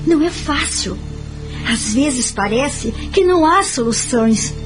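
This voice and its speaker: An actress with a Brazilian accent playing a gentle, reflective woman friend in an audio drama, speaking earnestly and explaining. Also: high voice, expressive, slow pace. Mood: grave, earnest. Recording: music behind the voice.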